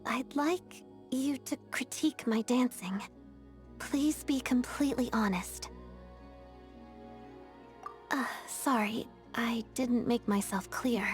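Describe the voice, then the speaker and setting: A young female ballet dancer from a video game with a fairly high voice. She is polite, earnest and self-disciplined, choosing her words in a measured, formal way. Music plays along with her. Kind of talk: video game character voice acting.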